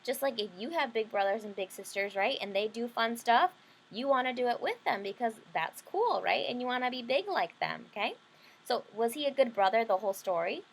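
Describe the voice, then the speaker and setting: A woman's voice: a little high, expressive, a little fast, poor audio. A woman leading an interactive read-aloud lesson for children, explaining simply and often checking in with questions. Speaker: teacher reading a picture book to children.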